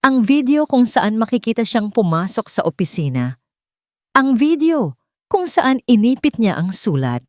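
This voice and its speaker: A woman storyteller reading scripted drama with suspenseful, emphatic delivery and dramatic pauses. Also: a little high, big pitch swings, a little mellow, dry recording, studio quality.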